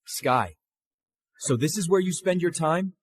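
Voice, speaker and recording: A man acts out an entitled rich hothead in a melodrama. His high voice swings widely as he accuses, drawing his words out in a dry recording. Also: expressive, unhurried, breathy edge. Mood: angry, demanding. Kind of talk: melodramatic drama acting.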